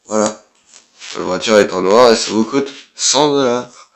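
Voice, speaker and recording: A man's voice: lowish voice, measured pace, gravelly. A man, who has a cold, giving casual, blunt let's play commentary as a gaming YouTuber and swearing offhandedly.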